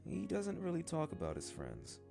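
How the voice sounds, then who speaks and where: A man reading the part of a gentle, proud mother in a storybook, reassuring in manner. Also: fairly high pitch, wildly swooping pitch, normal speed, creaky, music in the background, pitched above the performer's own voice, exaggerated voice. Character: gentle, proud mother defending her young son.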